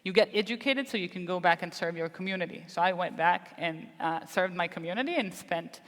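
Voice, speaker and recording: A woman from the Negev in Israel, a physician speaking as a guest onstage, telling her story in a measured way with many fillers. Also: fairly low pitch, fairly bright tone, long reverb tail. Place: large hall, live event.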